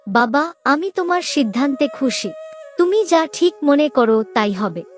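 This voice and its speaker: A woman playing a shy, dutiful young bride-to-be in a cartoon family drama, speaking in a soft, sentimental way. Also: sits high, expressive, a little slow. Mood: shy, obedient. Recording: music under the voice.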